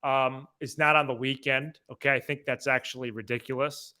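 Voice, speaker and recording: A man's voice: medium pitch, steady tone, moderate pace, bright, forceful tone. A man hosting a sports podcast, giving casual commentary in a conversational way.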